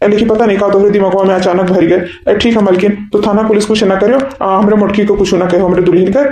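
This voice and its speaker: A man's voice: sits high, dark tone, slight background noise. A man voicing a helpless, henpecked husband in a village cartoon comedy, pleading and repeating himself in an eastern Uttar Pradesh accent. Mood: pleading, cowed. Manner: pleading, repetitive, halting. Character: henpecked, helpless village husband caught between two wives. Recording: echoey room sound.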